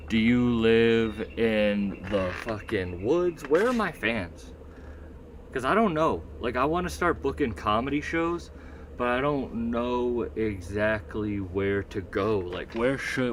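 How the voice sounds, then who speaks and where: A man's voice: fairly low pitch, a little hiss or hum. A man with a Northeastern US accent rambling through a casual solo livestream, swearing freely and reading the chat aloud. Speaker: livestream host.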